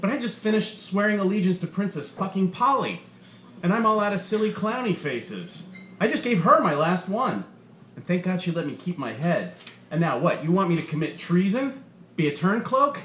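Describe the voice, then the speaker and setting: A brash, foul-mouthed stand-up comedian, put on by a male narrator, working a club crowd in a raised, punchy voice. He sounds incredulous and mocking, his delivery pitched clearly above the reader's own. The room is roomy, with a faint hiss underneath. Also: brisk, natural voice. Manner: loud, animated, incredulous, swearing. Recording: roomy, faint background noise.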